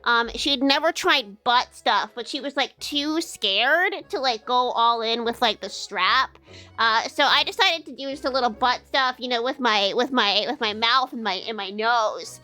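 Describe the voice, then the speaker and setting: Nasal, bright-voiced woman streamer. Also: fairly high pitch, moderate pace, soft background music. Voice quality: nasal, stuffed up. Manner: playful, giggly, crude jokes, many fillers. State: congested from a sinus infection. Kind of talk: casual live-stream chat. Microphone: streaming microphone.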